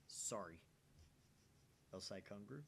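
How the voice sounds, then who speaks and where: A man's voice: lowish voice, gravelly, pushed and bright, a little hiss or hum. A man reading a visual novel aloud as a gaming channel host.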